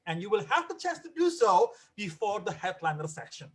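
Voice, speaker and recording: A man hosting a live stand-up comedy open mic in an excitable, animated way. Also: high-pitched, fairly quick, breathy edge. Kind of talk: live comedy show emceeing.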